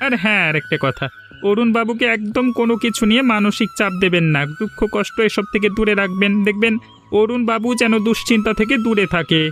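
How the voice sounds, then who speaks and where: High-voiced earnest village doctor played by a man. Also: quick pace, a bit bright. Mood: earnest, advising. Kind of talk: Bengali cartoon dialogue. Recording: music behind the voice.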